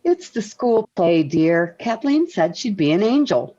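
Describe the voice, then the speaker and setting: An actress playing a calm, gently firm suburban mother in a 1940s radio sitcom. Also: medium pitch, measured pace. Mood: placating. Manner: soothing, mildly scolding. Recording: dull vintage 1940s radio recording.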